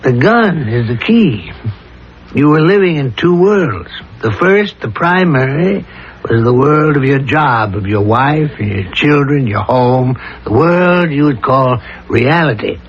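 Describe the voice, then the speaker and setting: An actor playing a calm, insistent psychiatrist with a measured, deliberate delivery in a 1970s radio drama. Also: male voice, medium pitch, slight background noise, lightly acted. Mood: insistent, methodical. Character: calm, probing male psychiatrist pressing his patient with theories. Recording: dull lo-fi 1970s radio recording.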